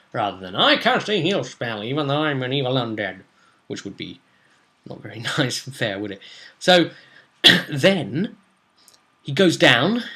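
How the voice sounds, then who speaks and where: A young man casually recapping a tabletop game as its game master, with a theatrical, animated storytelling manner. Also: medium pitch. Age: young adult. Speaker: game master and vlog host. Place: small room at home, indoors.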